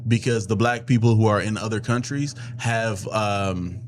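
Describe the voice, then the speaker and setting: Thoughtful, halting man. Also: on the low side, slight background noise. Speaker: stream co-host. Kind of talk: casual stream discussion.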